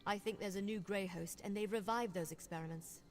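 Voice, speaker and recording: A woman acts out a young female vampire investigator from a fantasy game. She is brisk and wry, explaining things with confident, conversational ease. Her voice sits at a middle pitch. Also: close to an everyday voice. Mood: matter-of-fact. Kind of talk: video game character dialogue.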